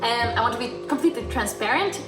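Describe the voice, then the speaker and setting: A woman's voice: on the high side, fast talker. Chatty woman in an echoey room. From Finland. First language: Swedish. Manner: chatty, many fillers and soft restarts. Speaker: Instagram creator and vlogger. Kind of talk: casual Q&A vlog. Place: home apartment, indoors. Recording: roomy sound, distant mic, music behind.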